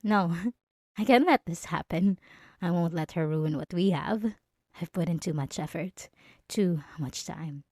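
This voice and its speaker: A woman's voice: on the low side, a little slow, dry recording, studio quality. A woman playing a jealous, possessive young female bully in an intimate ASMR roleplay, menacing and taunting as she blackmails her crush. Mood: cold, possessive. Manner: menacing, taunting, swinging between soft and agitated.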